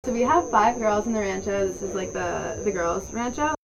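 Young woman, gravelly, chatty in field recording. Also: medium pitch, animated, moderate pace, a little hiss or hum, low-quality recording. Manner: chatty, with frequent "like" fillers. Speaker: intern. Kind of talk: casual interview answers.

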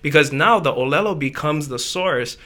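A young man from Hawaii, talking in a relaxed group chat and explaining things while often checking in with "right?". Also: on the high side, normal speed. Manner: reflective, explains with many "right?" tags.

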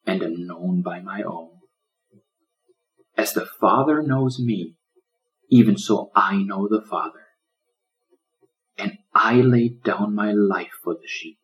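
A man voicing a gentle old lion in a storybook, solemn and loving like a shepherd, with a preaching delivery. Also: fairly low pitch, low-quality recording. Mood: earnest, reassuring.

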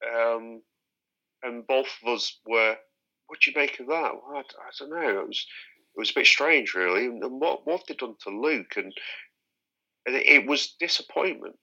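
A man co-hosting a podcast who recalls a film outing in a reflective, halting way. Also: medium pitch, sharp tone, low-quality recording. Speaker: podcast co-host. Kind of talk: casual film discussion.

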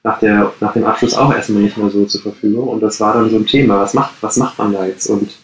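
A man's voice: lowish voice, fairly even intonation, fast talker. A man in his late thirties from the Ruhr area, answering interview questions casually and with many hesitations. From Ruhr area, Germany. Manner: hesitant, many fillers and restarts. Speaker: coworking space co-founder, podcast guest. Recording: roomy echo.

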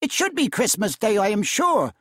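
Man doing a sharp-tongued mother, indignant, sarcastic, high and loud. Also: very animated, a little slow, husky, no echo, clean studio sound, full character voice.

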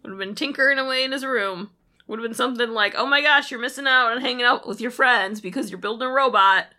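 A woman podcast co-host in casual comedic banter, excitable, informal and salty-tongued. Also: fairly high pitch, quick pace.

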